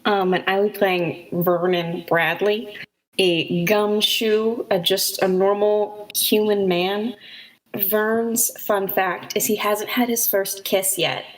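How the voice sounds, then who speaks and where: A woman's voice: mid-range voice, fairly even intonation, poor audio. A woman introducing her character to the group, chatting casually and laughing easily. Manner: slow, giggly, self-deprecating. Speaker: player. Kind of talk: casual chat.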